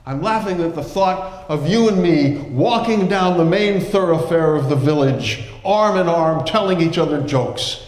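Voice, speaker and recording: A man's voice: a little high, unhurried, few pauses, darkish tone, voice pushed up, close to an everyday voice. A man voicing a scornful rival landowner. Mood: amused. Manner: scornful, laughing. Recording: echoey hall with long reverb.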